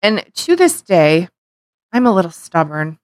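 A lower-pitched woman in middle age co-hosts a casual podcast, rambling and circling back on herself as she vents. The recording is dry and close. Her talk is peppered with restarts and the odd curse. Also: sing-song, measured pace, no room sound. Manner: heated, rambling, swears, frequent restarts. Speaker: podcast co-host. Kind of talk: casual opinion chat.

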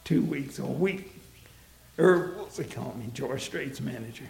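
An older man with a gravelly, strained voice, telling his story in an animated, laughing way. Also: medium pitch, a little slow, reverberant, soft background music. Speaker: interviewee, museum founder. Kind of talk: storytelling interview. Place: his museum, indoors.

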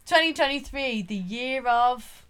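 A woman's voice: high voice, lively intonation, measured pace, a bit bright, smooth. A woman in her twenties co-hosting a podcast, chatting casually, often laughing and filling her talk with "like". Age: 20s. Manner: chatty, laughs a lot, lots of likes. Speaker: podcast co-host. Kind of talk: casual chat.

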